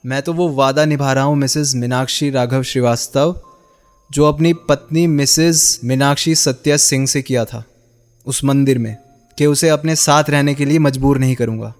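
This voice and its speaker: A man's voice: mid-range voice, fairly even intonation, normal speed, soft background music, lightly acted. An actor playing a bitter, heartbroken young man in a radio drama, sarcastic and accusing as he confronts the woman he loved. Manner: sarcastic, accusing, with pointed rhetorical questions. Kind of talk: Hindi radio play acting.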